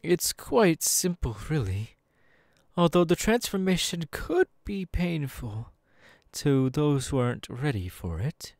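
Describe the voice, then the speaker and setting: A man voicing a suave, menacing demon in an ASMR roleplay, with teasing, patronising, drawn-out phrasing, close on the microphone. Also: on the high side, sing-song, gravelly, a little mellow, no echo, exaggerated voice. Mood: smooth, persuasive. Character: suave, menacing male demon, playfully condescending and seductive. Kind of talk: ASMR audio roleplay, second-person monologue. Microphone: close dry microphone.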